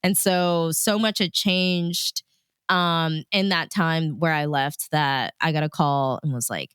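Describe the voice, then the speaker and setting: An American woman telling stories in a casual, conversational way, informal and animated, as a radio DJ being interviewed. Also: deep voice, slow pace, clean tone, no echo, studio quality.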